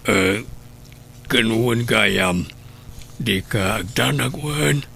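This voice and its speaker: A man's voice: lowish voice, drawn-out words, gravelly, bright, forceful tone, a little hiss or hum, full character voice. A man playing a frail, grateful elderly patient in a radio drama, with an Ilocano Filipino accent. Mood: grateful, wry.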